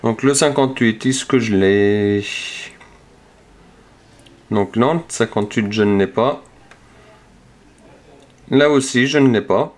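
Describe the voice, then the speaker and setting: A man calmly talks through each number as he scratches lottery tickets, casual and methodical. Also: fairly low pitch, slow pace, pauses often. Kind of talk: casual narration while scratching cards. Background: scratching sounds.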